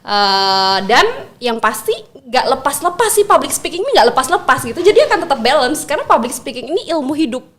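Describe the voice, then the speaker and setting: A young adult woman chatting casually as an interview guest, expressive and quick to laugh. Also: high voice. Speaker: podcast guest, MC and public speaking trainer.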